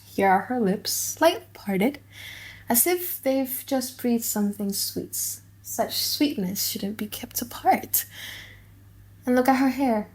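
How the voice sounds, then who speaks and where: Woman playing an earnest young male suitor, deliberate delivery. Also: fairly high pitch, lively intonation, a little mellow. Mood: awed, smitten. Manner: deliberate, emphatic, pausing between short phrases. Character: earnest young male suitor musing gravely, then smitten. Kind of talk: dramatic audiobook reading in modern English.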